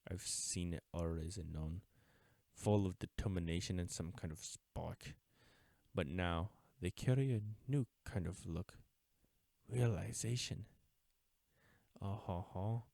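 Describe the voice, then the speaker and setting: An actor playing an uptight government agent in an audio roleplay, speaking formally and deliberately, smug yet mourning a lost friend. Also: male voice, sits low, creaky, warm tone, no echo, clean studio sound. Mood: conflicted, mournful, then stern, reproachful. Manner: formal, deliberate, taunting with wistful undertones. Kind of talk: scripted audio roleplay drama, addressing the listener.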